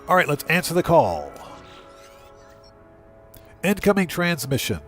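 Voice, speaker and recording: A man with a somewhat higher-pitched voice hosts a video game livestream. He thinks aloud and addresses his viewers in a casual, chatty way. Music plays behind him. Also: huge pitch swings, a little slow, a bit bright. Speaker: livestream host.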